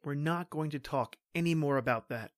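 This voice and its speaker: A woman's voice: sits low, moderate pace, dry recording, clean studio sound, close to an everyday voice. A woman playing a stoic, protective male police officer in an old-time radio horror drama, brusque and melodramatic. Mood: guarded, matter-of-fact.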